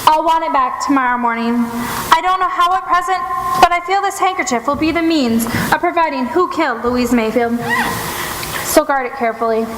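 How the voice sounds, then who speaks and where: A woman playing a shrewd, commanding female detective in an old time radio mystery, with deliberate, theatrical delivery. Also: sits high, lightly acted. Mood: measured, persuasive. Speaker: stage radio-play actor. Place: theater stage before live broadcast recording. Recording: echoey live theater hall, noisy.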